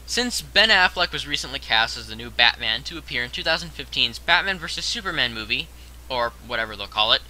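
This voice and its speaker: A man's voice: mid-range voice, expressive, a little fast, bright, forceful tone, a little hiss or hum, poor audio. A young man narrating a scripted top-ten countdown as host, in a conversational way that addresses the viewer directly.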